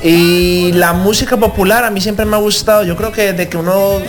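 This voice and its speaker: A man's voice: sits high, fairly even intonation, moderate pace, faint music behind. A young Colombian man from Cali with a Colombian accent, a singer being interviewed on the radio, talking in a grateful, humble way with many fillers. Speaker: guest singer.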